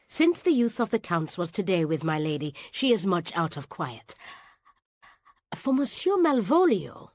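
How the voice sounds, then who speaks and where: An actress playing a sharp-witted, scheming serving gentlewoman in a Shakespearean radio comedy, sly and scornful. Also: fairly low pitch, no room sound. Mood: conspiratorial.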